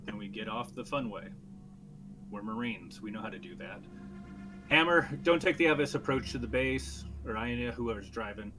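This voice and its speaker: A man's voice: mid-range voice, lively intonation, moderate pace, bright, forceful tone, slight background noise, low-quality recording. A man playing a blunt, commanding space marine captain in a tabletop roleplay, giving decisive, sweary orders. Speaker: player.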